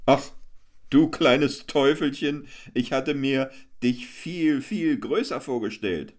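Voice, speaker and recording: A man's voice: slow, lightly acted. A man voicing a hungry, cheeky male jester who teases and whines in sing-song rhymes. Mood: mocking. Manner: high, whiny, sing-song rhyming.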